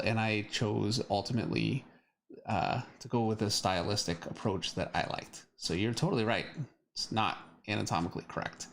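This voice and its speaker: A Canadian man talks through his drawing on a live stream in a loose, rambling way, with plenty of fillers and restarts. A faint hiss of noise sits behind his voice. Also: mid-range voice. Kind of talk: casual chat while drawing.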